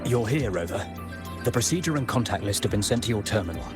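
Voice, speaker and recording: An actor playing a polite, businesslike operations official in a video game. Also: male voice, lowish voice, lively intonation, fast talker, lightly acted. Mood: composed, businesslike. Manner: formal, businesslike. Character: polite, efficient, formal male operations official. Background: music.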